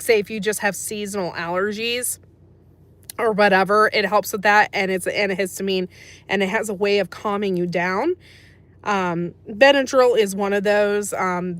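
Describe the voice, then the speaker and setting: A woman from America hosting a casual livestream, chatting about herbal remedies and answering live chat messages with frequent laughs. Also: mid-range voice. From USA. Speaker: livestream host.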